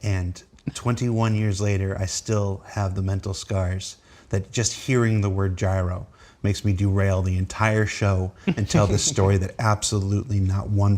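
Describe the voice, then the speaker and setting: A man's voice: on the low side, darkish tone. A man hosting a casual talk show, reading viewer questions and rambling into wry, self-deprecating stories. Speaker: host of a developer panel show.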